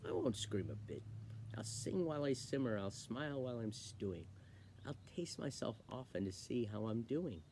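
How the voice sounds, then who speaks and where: A man's voice: medium pitch, animated, normal speed, darkish tone, a little hiss or hum, close to an everyday voice. A man voicing a cheerful, daft cook in a comic children's poem. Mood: cheerful, matter-of-fact. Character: cheerful daft cook making himself into stew.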